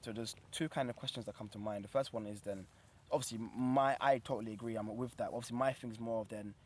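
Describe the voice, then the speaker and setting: A man's voice: mid-range voice, steady tone, quick pace. A young British man hosting an interview, asking long, searching questions and affirming his guest often. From United Kingdom. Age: young adult. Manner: affirming, gives frequent short "yeah" responses. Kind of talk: casual interview.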